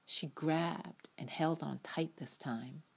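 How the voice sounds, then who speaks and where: A low-pitched American woman reads her own written review aloud as a dramatic performance. She is emphatic and sassy, leaning theatrically into her opinions and breaking into conversational ad libs. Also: steady tone, takes it slowly, gravelly, husky.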